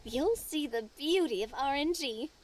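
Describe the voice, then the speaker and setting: A high, lively voice belongs to a confident young businesswoman. She sounds friendly and playful, with a lot of bounce in her pitch. It is a game character's voice. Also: unhurried. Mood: upbeat.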